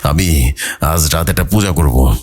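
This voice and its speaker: A man voicing a grave, respected village priest in a horror story, speaking in a measured, cautious way with sighing hesitations. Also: a bass voice, darkish tone, soft background music, voice pushed down.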